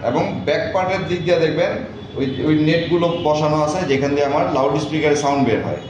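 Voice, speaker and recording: A man's voice: on the high side, fast talker, over music. Man teaching in a very echoey room. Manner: instructional, repetitive, often quizzing listeners. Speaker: electronics repair instructor. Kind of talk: classroom lecture with check questions. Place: echoey indoor classroom. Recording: very echoey room, far mic, clear noise, dull.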